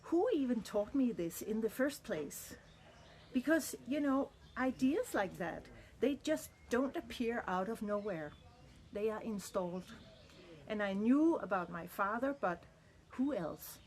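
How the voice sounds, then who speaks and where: A woman's voice: on the high side, animated, unhurried, a little mellow. Earnest middle-aged woman reflecting. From Denmark. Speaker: vlogger. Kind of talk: personal reflective monologue.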